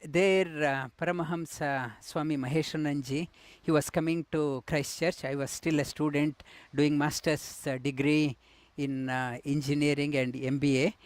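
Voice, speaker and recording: Middle-aged man from India, halting with fillers, slightly high voice, dry close sound. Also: dry recording. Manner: halting, many fillers and restarts. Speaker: yoga teacher. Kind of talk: personal life story interview.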